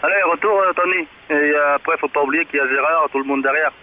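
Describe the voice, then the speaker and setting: Man on a tinny, hissy radio link. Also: fairly high pitch. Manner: halting, repeats words. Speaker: amateur radio operator. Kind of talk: casual radio chat.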